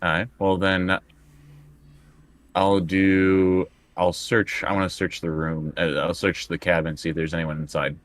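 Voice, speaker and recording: A man's voice: low-pitched, little pitch movement, unhurried, dry recording. A man chatting casually as a tabletop roleplaying player, thinking aloud and working through his choices bit by bit.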